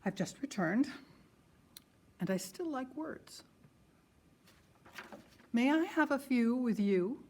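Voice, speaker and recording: A woman's voice: fairly high pitch, animated, takes it slowly, pauses often, a little mellow, a little hiss or hum. A woman performing a scripted letter reading in a theatrical style, expressively savouring individual words.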